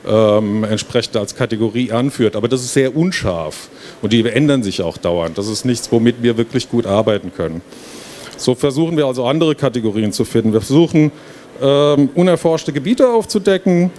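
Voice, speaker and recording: Man presenting over clear hall noise. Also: medium pitch, a little mellow. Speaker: professor of game design, presenter. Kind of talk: informal lecture presentation to an audience. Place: stage at an indoor book fair. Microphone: handheld microphone. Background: trade fair hall ambience.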